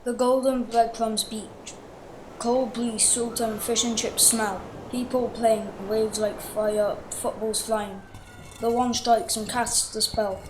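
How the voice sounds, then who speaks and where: Even, higher-pitched woman reciting poetry. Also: slow pace, noisy background.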